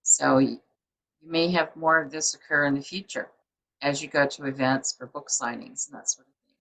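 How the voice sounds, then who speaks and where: A woman's voice: deep voice, steady tone, normal speed, a bit bright, rough recording. A woman publisher hosting a book show over a video call, chatty and rambling with many fillers. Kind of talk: casual interview chat.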